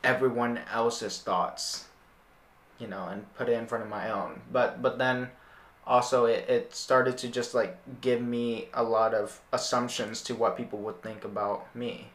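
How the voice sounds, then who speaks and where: Casual young male interviewer, hesitant and repetitive, medium pitch, noticeable room echo. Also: level tone, fairly bright tone. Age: young adult. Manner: halting, repeats words while thinking. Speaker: podcast host. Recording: roomy, echoing sound.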